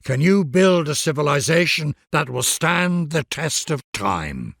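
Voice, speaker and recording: Male narrator for epic strategy game: mid-pitched, gravelly edge, highly expressive melody, stately formal address, very dry recording. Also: measured pace, dry recording. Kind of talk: grand scripted narration.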